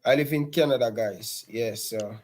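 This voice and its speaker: A man co-hosts a casual football talk. He trips over his openings, repeating words before the thought lands. His voice sits at an ordinary middle pitch for a man. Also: normal speed, heavy background noise. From Jamaica. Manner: repeats words often, stumbling starts. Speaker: co-host.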